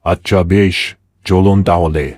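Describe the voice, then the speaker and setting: Guy doing a sly man-eating tiger for a kids cartoon, low voice, smug threats. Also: fairly even intonation, a little slow, a little mellow, no echo, clean studio sound. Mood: smug, confident. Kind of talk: cartoon fable dialogue.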